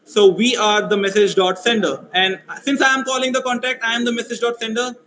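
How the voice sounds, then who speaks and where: A man running a live coding workshop, speaking loudly and asking his audience questions. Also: extremely high pitch, steady tone, a little fast, reverberant, a little hiss or hum, rough recording. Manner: loud voice, asks the audience questions. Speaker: workshop instructor. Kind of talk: live coding workshop, mixing English and Hindi.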